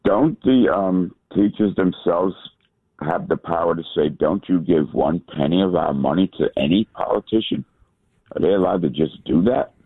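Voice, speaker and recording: Gravelly caller on a tinny phone line. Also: male voice, low-pitched, dry recording. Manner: rambling, halting, gravelly. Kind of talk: phone-in chat.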